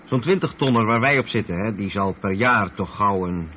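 An actor with a Netherlands Dutch accent playing an experienced long-haul trucker who patiently explains things in a radio play. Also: male voice, on the low side, normal speed, natural voice. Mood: patient, explaining. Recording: muffled old radio play, vehicle noise.

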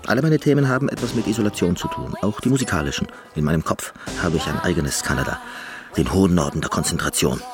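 A man's voice: low voice, lively intonation, quick pace, over music. An actor playing a reclusive, neurotic Canadian pianist in a radio drama, brooding and repeating his phrases compulsively. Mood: brooding, absorbed.

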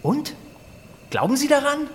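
A man playing a sceptical, rational scientist in a radio drama, brisk and dismissive. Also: very high voice, sing-song, fairly bright tone, in a large hall, slight background noise, exaggerated voice.

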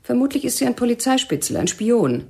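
A woman's voice: a little high, sing-song, fairly quick, gravelly, warm tone, slightly rough, exaggerated voice. An actress in a radio drama playing a cold, domineering female militant interrogator, stern and mocking. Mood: pressing, scornful.

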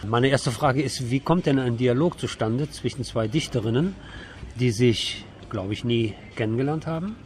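A man's voice: medium pitch, moderate pace, darkish tone. Male radio interviewer, conversational, mid-range voice, recorded with noticeable ambient noise. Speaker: radio host.

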